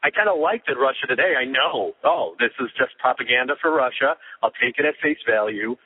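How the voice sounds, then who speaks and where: A man co-hosting a casual podcast over the phone, giving firm opinions in a measured way with frequent restarts. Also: mid-range voice, animated, husky. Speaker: podcast co-host. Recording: tinny phone line.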